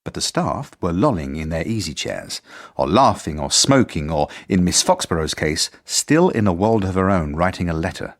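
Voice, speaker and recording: Deep earnest schoolmaster, measured and formal but lively melody, radio drama narration. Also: male voice, exaggerated voice. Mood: confiding. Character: earnest, persistent, mild-mannered male acting head teacher.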